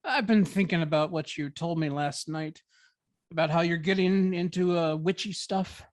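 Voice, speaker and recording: A woman's voice: lowish voice, normal speed, a little mellow, no echo, studio quality, natural voice. Woman reading a hesitant, supportive young boyfriend. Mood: supportive, earnest. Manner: hesitant, stammering. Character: supportive, joking young boyfriend, casual and slightly awkward. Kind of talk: informal script table read.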